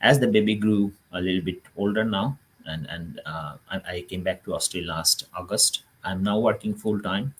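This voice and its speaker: A man's voice: low-pitched, normal speed, few pauses, slightly rough. A man with a Bangladeshi accent, hosting a live online talk and explaining things to his audience. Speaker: host of an online business show.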